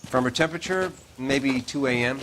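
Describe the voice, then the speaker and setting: An actor playing a matter-of-fact coroner in a crime video game, with a dry, clinical manner. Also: male voice, mid-range voice, moderate pace, fairly bright tone, a little hiss or hum, lightly acted, poor audio.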